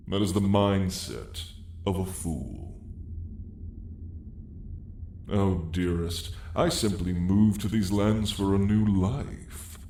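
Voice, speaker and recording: A man voicing a haughty, sardonic dragon in a slow, condescending, theatrical drawl for an ASMR roleplay story. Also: low voice, a little mellow, reverberant, slight background noise. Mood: scornful amusement. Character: haughty, sardonic dragon, apex predator of a mountain.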